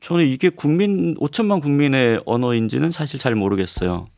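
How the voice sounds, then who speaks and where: A man, a professor, answering questions on a radio current-affairs show in a steady, explanatory way, with some hesitant fillers. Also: medium pitch, brisk, vocal fry, dry recording, studio quality. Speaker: political science professor guest. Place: radio studio. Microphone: studio microphone.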